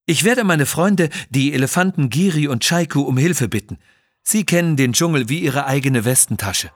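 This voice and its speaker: A man plays a brave young Indian guide from a children's audio adventure. The voice is raised and a little husky, with an earnest, pleading manner. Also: fairly high pitch, animated, normal speed, dry recording, studio quality, voice pushed up. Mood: eager.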